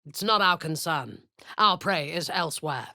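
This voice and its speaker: An actress voicing a ruthless drow woman commander who leads zealous raids, speaking in a cold, commanding voice with a husky edge that often sinks into a whisper. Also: sits low, animated, normal speed, sharp tone, no room sound, clean studio sound, exaggerated voice. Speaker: game character. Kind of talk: video game voice lines.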